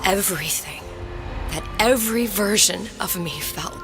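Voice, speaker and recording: A woman's voice: mid-range voice, measured pace, over music, lightly acted. A woman playing an anguished, determined young heroine in a dramatic video game. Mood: troubled, searching.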